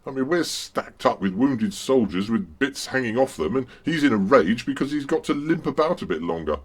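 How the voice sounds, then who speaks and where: A man's voice: mid-range voice, animated, normal speed, husky, voice pushed down. A man acting a flustered, hesitant wartime complaints clerk in radio play dialogue. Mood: dry grumbling.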